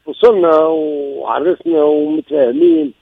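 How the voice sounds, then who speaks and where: Older Tunisian man, very gravelly voice, fast animated speech, dull lo-fi radio sound, insistent. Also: high voice, no room sound. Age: 60s. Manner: emphatic, repeats himself. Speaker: caller. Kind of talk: recounting a personal marital problem.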